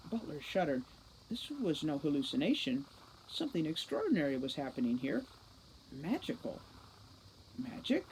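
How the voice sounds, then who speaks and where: A woman's voice: fairly low pitch, darkish tone. Woman reading a storybook, noisy recording. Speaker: teacher reading a novel aloud.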